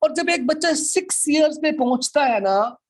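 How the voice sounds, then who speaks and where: A man's voice: very high-pitched, animated, moderate pace. A man with a Pakistani accent lecturing informally to a class, explaining step by step and repeating his points. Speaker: law lecturer.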